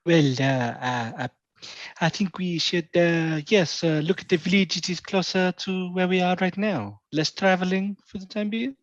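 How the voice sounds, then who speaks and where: A player voicing a female kitsune noble with a put-on French accent, hesitant and halting. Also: fairly low pitch, no echo, highs cut off, lightly acted.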